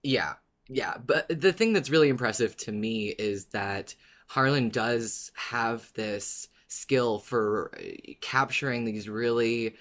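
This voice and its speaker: A podcast co-host with a medium-pitched voice. The delivery is informal and expressive, that of a fan discussing a favourite story. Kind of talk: casual fan discussion, partly reading a written recap.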